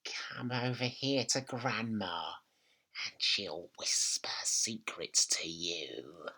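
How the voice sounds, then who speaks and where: A horrid old grandmother from a children's storybook. Her throaty, raspy voice swings up and down as she bosses and gloats. She stretches words slowly, with witchy menace. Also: low-pitched, animated, pushed and bright, voice pushed down, heavily acted. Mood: sly, creepy. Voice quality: throaty, raspy, whispery at times. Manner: snapping, slow menacing emphasis.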